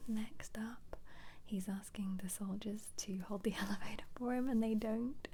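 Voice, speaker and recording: Softly whispering ASMR woman, mellow and breathy. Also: mid-range voice, steady tone, normal speed, slight background noise. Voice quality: soft, breathy, mostly whispered. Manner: gentle, chatty, musing aloud. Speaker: ASMR video creator. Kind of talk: ASMR, soft-spoken casual book flip-through commentary.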